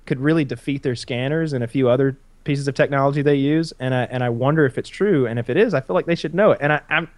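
A man's voice: medium pitch, quick pace, few pauses, no room sound. A man in his thirties hosts a casual chat. He rambles with lots of restarts and swearing. The sound is close and dry, with no room around it. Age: 30s.

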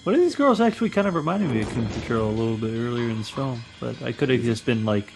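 A man riffing on a movie with friends, wry and quick to laugh. His pitch swings widely as he jokes. The film's music plays clearly behind him. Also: expressive, poor audio. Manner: wry, laughs often, sings joke lines. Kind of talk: casual jokey movie commentary. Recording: music from the film playing behind.

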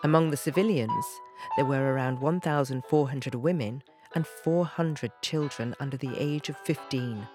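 A woman's voice: rumbling low, moderate pace, a little mellow. A woman reading scripted narration as the narrator of an audio drama. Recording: music behind the voice. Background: plucked guitar music.

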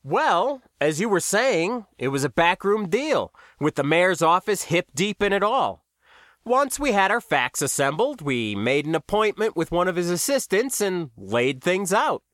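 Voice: medium pitch, big pitch swings, measured pace, a bit bright, dry recording, clean studio sound, pitched above the performer's own voice. A storybook narrator voicing a genial, self-satisfied male spacer who talks in a wry, articulate way. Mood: sly, assured.

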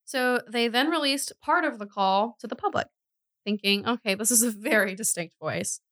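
A woman hosting a true crime podcast, telling the story conversationally with informal, animated asides. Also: a little high, moderate pace, no echo, studio quality.

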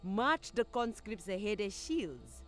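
A zealous cult apostle, played by a woman, advises warlords in an audio drama. She speaks slowly and imperiously, with measured scorn, over faint music. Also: medium pitch, sing-song. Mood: scornful, persuasive. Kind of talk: scripted audio drama acting.

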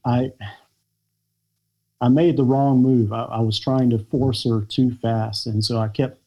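An American man, a podcast guest, telling a personal family story in a halting, reflective way with many pauses and fillers. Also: medium pitch, fairly even intonation, lo-fi sound. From United States. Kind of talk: podcast interview conversation.

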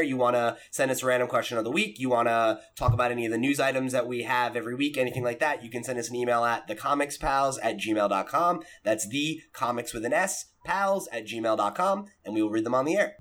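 Guy hosting a gaming podcast, medium pitch, chatty and conversational. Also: fast, few pauses, a bit bright. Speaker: podcast host. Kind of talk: casual gaming podcast chat.

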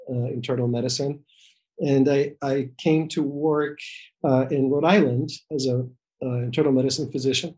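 A man's voice: mid-range voice, dark tone, rough recording. A middle-aged man from El Salvador, a physician answering interview questions in a hesitant way with many fillers and restarts, heard over a video call. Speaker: physician guest.

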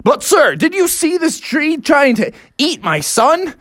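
A defensive bear dad from a storybook, voiced by a storyteller, protesting with exasperated emphasis. The pitch sits a bit raised and moves around in a lively way. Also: moderate pace, husky.

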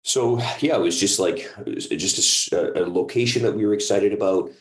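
A man's voice: lowish voice, fairly quick. A man who co-creates audio drama, chatting keenly in an interview and often checking in with the listener. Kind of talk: interview chat. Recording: roomy, echoing sound.

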